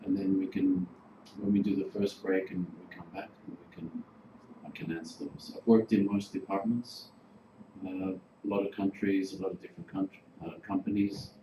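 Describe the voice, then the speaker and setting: Man from Greece, 40s, casual hotel-trainer delivery, fillers; boomy room, background noise. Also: flat delivery, normal speed. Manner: chatty, informal, filler-heavy. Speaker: hotel general manager giving a workshop. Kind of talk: relaxed presentation to a class. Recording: roomy, noisy room recording.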